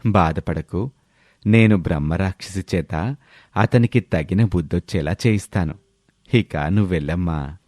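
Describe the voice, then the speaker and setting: A man voicing a calm, authoritative ashram holy man with a solemn, reassuring manner, in an animated moral story. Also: low voice, unhurried, pauses often, dry recording, studio quality.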